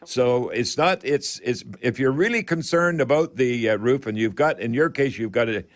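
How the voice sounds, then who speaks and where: A man hosting a radio call-in show, giving home improvement advice in a halting, folksy, rambling way. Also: medium pitch. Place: radio studio.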